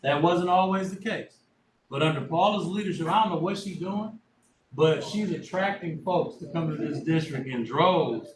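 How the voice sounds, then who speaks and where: Superintendent type, easygoing and upbeat, a bit higher-pitched, roomy echo, faint hiss. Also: male voice, moderate pace. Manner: casual, folksy, upbeat. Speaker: school superintendent. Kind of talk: board meeting remarks. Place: meeting room. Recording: echoey room, distant mic, faint noise.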